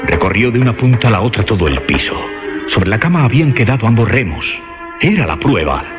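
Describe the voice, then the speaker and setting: A man with a low, dramatically swinging voice narrates a horror tale as radio theatre. He builds suspense in halting, emphatic phrases that circle back on themselves. Loud music plays behind his slightly dull recording. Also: sing-song.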